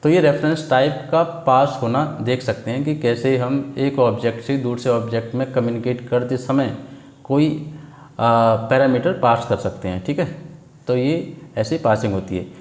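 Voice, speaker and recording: A man's voice: mid-range voice, normal speed, a little mellow. A man with an Indian accent giving an informal programming tutorial, explaining step by step in a didactic way, often posing questions and answering them himself with frequent check words. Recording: echoey, roomy sound.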